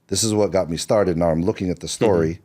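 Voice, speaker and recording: Very deep, mellow-voiced man telling stories. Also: fast talker. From Seattle, Washington. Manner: loose and rambling, many fillers and restarts. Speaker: podcast guest, screenwriter. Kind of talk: relaxed interview storytelling.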